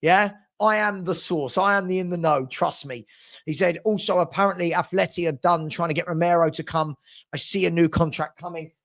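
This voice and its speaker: A gravelly, fairly high-pitched man's voice, middle-aged and chatty. He hosts a live fan stream, talking casually and matily to his viewers, often doubling back on his words as he answers comments. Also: steady tone, a little fast, fairly bright tone. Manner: chatty, matey, hesitant with repeated words. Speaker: host of a football fan livestream.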